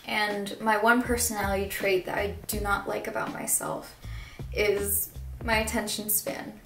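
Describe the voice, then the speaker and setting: A woman's voice: medium pitch, flat delivery, a little slow, gravelly, fairly bright tone, recorded from a distance, faint music behind. A young woman from the Philippines talking candidly to camera in a casual vlog, with lots of "like", swearing and laughter. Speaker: vlogger. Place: small bare room, indoors. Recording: echoey room, mic some way off.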